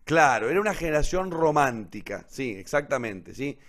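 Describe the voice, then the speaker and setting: A man's voice: mid-range voice, sing-song, normal speed, crowd noise. A man with an Argentine accent presenting a radio theatre programme, explaining literature informally and didactically, repeating key points and checking in with short questions.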